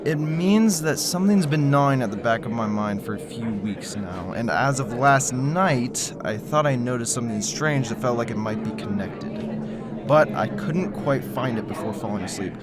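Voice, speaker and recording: A man playing a wary, secretive conspiracy theorist in an audio drama, speaking in a halting, hesitant way. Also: mid-range voice, animated, over a noisy crowd, natural voice. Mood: earnest. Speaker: lead character.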